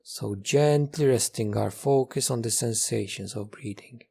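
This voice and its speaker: Slow, gravelly man guiding meditation. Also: mid-range voice. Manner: calm, measured, repeats phrases with long pauses. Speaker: meditation teacher leading a guided session. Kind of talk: guided meditation, slow gentle instruction.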